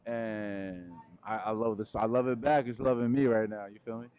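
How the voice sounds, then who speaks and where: A young man from Peru, a musician answering interview questions in a relaxed, rambling way with lots of fillers, speaking into a handheld mic indoors. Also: lowish voice, moderate pace, smooth. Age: young adult. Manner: laid-back, rambling, frequent fillers. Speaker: musician guest. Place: indoors in front of a wooden wall.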